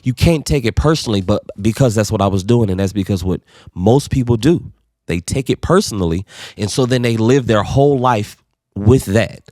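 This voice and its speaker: A man's voice: on the low side, normal speed, darkish tone, no room sound, studio quality. A man co-hosting a podcast, talking earnestly and reflectively in casual conversation, swearing now and then. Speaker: podcast co-host.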